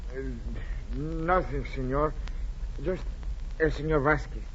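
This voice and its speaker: An actor playing a meek, nervous Mexican ranch hand, hesitant and deferential, in 1940s radio style. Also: male voice, a little high, slow pace, fairly bright tone. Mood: sheepish. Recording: muffled, faintly noisy vintage radio recording.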